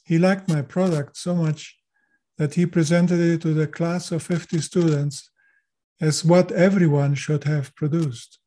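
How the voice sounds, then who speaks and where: An older man who grew up in Chile, with German as his first language, talks as a guest on a podcast. His voice sits a little high but has a dark, mellow tone. He speaks in a measured, formal way. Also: steady tone. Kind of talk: podcast interview.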